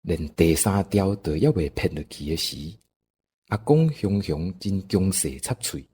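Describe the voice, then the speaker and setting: A male narrator with a Taiwanese accent tells a scripted story. His voice is lowish, mellow and husky, and his pitch moves around a lot. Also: very animated, a little slow.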